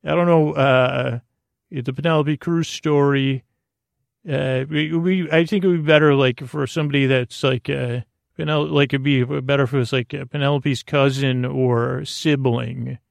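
Gravelly, meandering male podcast host. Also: medium pitch, fairly even intonation, no room sound, clean studio sound. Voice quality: gravelly, creaky. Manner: meandering, halting, tangent-prone; whimsical, goofy. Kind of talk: rambling bedtime monologue.

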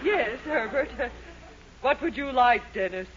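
An American actress playing a cowed, grudgingly obedient wife in a 1940s radio sitcom. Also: female voice, fairly high pitch, lively intonation, measured pace, over a noisy crowd. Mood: forced meekness. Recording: noisy, muffled 1940s radio with loud audience.

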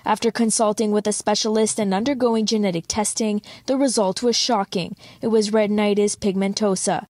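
A woman reporter narrating a news feature in a measured, polished delivery. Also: medium pitch, fairly even intonation, a little mellow.

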